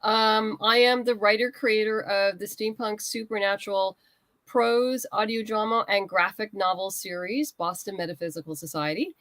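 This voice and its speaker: A woman's voice: medium pitch, normal speed, fairly bright tone, no echo. A woman speaking as a writer guest in a casual interview conversation.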